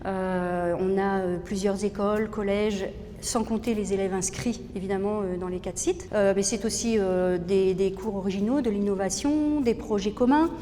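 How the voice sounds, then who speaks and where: Mellow-voiced woman in a very reverberant room. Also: moderate pace. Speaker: music school representative. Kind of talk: interview explanation. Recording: very reverberant room, faint rumbling noise.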